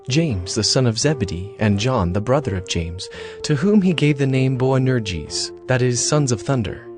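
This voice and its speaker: A man narrating scripture as a solemn story, with clear phrasing, in a dramatized audio reading. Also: medium pitch. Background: music.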